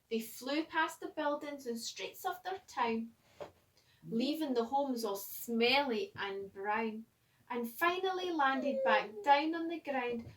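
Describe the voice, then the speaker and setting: High-voiced woman reading a storybook, unhurried. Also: distant mic, rough recording. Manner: expressive, dramatic pauses and emphasis. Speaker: schoolteacher reading a picture book aloud. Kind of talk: animated children's storybook read-aloud.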